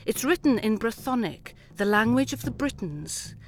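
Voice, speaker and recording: A woman from Wales, a Welsh speaker, narrating a radio documentary in a measured, reflective way. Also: a little high, expressive. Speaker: poet presenter.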